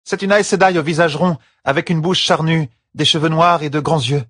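A man's voice: on the high side, steady tone, brisk, fairly bright tone, dry recording, clean studio sound, pitched above the performer's own voice. A man voicing a composed, assertive young noblewoman in an audiobook, speaking frankly and matter-of-factly.

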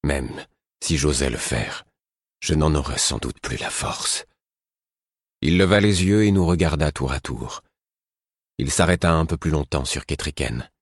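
A man voicing an exhausted, solemn king in an audiobook, speaking slowly and gravely. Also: a bass voice, lively intonation, no echo, studio quality, exaggerated voice. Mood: weary. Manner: slow, weary and grave.

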